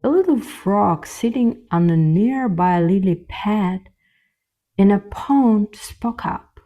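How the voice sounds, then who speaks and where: A woman narrating a scripted children's fairy tale as the storyteller, in a gentle, measured way. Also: medium pitch, animated.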